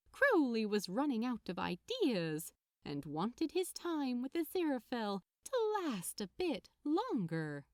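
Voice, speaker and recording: A woman's voice: fairly high pitch, darkish tone, no room sound, studio quality. A woman reading light romantic fiction aloud as a scripted story narration. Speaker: podfic narrator.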